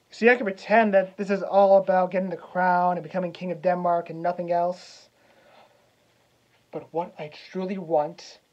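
A man playing a vengeful young prince as a cocky wrestler cutting a theatrical promo, swaggering and taunting with dramatic pauses. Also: sits high, lo-fi sound. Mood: brooding, wounded. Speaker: actor.

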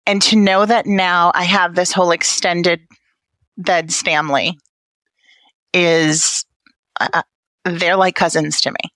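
Woman, Brooklyn accent, slightly low pitch, dry close sound, candid interview guest. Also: steady tone, slow, long pauses, a bit bright, no echo. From Brooklyn, New York, United States. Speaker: interview guest, patient family advocate. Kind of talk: personal conversational interview.

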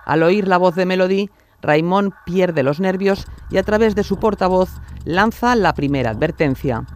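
High-pitched Spanish man narrating true crime. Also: moderate pace. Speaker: documentary narrator.

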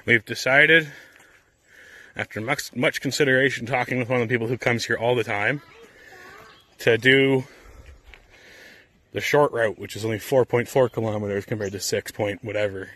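A man's voice: mid-range voice, fairly even intonation, normal speed, pauses often, sharp tone. A man in his thirties chatting and joking while hiking a forest trail, filming on a handheld camera. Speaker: vlogger. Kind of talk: casual family hike chat.

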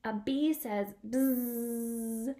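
Young female read-aloud teacher for little kids: high, unhurried, animated, sing-song delivery. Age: young adult. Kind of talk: picture-book read-aloud for young children with sign lessons. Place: small room at home by a window.

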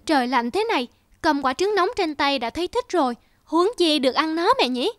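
A woman's voice: sits high, lively intonation, fairly quick, fairly bright tone, faint music behind. A woman playing an innocent, affectionate young boy in a cartoon, chatty and childlike. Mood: happy. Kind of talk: cartoon voice acting.

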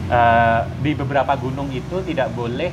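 A man in his thirties, sitting on a porch floor and explaining his hiking gear step by step in a relaxed, casual way with many fillers, recorded on a lapel mic. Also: mid-range voice, moderate pace, lo-fi sound. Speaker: hiking community host showing gear. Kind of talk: casual show-and-tell explanation. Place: outdoor porch by a house, seated on the floor. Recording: noisy street ambience with passing cars.

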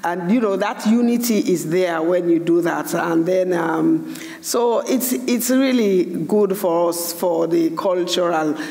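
A woman's voice: on the low side, slow, mellow. Elder woman, plain-spoken panelist, roomy long reverb. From Sierra Leone. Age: older. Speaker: panel guest, community elder. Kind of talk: panel discussion. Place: large hall on stage. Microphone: headset mic. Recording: echoey hall reverb.

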